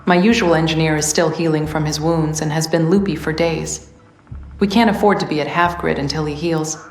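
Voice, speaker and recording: A woman playing a caring settlement leader in a video game, speaking in a measured, concerned manner. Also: deep voice, fairly even intonation, roomy sound, soft background music. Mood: relieved, concerned. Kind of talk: scripted video game dialogue.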